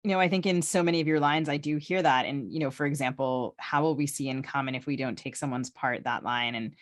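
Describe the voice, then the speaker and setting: A woman's voice: rumbling low, steady tone, brisk. Woman co-hosting, conversational questioning. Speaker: podcast co-host, interviewer.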